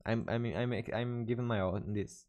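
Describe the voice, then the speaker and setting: Young Brazilian man streaming in halting English. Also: mid-range voice, fairly even intonation, darkish tone, dull audio. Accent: Brazilian Portuguese. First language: Portuguese. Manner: hesitant, self-correcting non-native English. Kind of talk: casual live-stream chat with viewers. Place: bedroom streaming setup indoors. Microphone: studio mic on desk with headphones.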